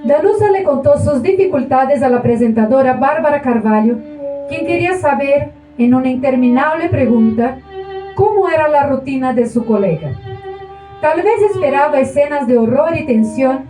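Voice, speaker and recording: A woman's voice: sits high, lively intonation, normal speed, mellow, faint music behind. A woman with a Colombian accent, a stage actress giving an ironic, theatrical live reading to an audience in a small theater. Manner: ironic storyteller, mocking diminutives. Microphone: far camera. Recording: roomy hall from a far camera.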